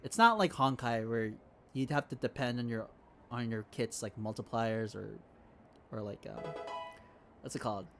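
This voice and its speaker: A man's voice: medium pitch, normal speed, long pauses, over music. A young adult man streaming a game, chatting casually in a rambling, sweary way. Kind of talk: casual chat while playing.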